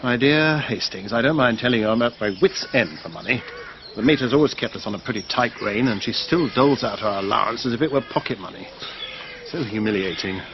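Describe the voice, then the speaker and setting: An actor playing a hard-up, aggrieved middle-aged gentleman in a period radio drama, with an upper-class British accent and a clipped manner. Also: mid-range voice, animated, a little fast, muffled recording, background noise, natural voice. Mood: exasperated.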